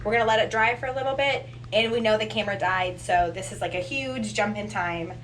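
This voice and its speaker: A woman's voice: medium pitch, a little fast, a bit bright. A woman in her twenties chatting casually to camera as a vlog co-host. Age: 20s. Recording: roomy echo, distant mic, noisy.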